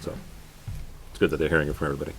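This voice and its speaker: A school administrator, a man, speaking briskly and matter-of-factly in a meeting room. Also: a bass voice, little pitch movement, gravelly, a little hiss or hum, rough recording. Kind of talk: meeting discussion.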